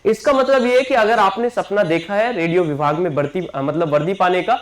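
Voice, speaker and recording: High-voiced coaching teacher. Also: male voice, brisk. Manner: repetitive, keeps checking in with listeners. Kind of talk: promotional class announcement.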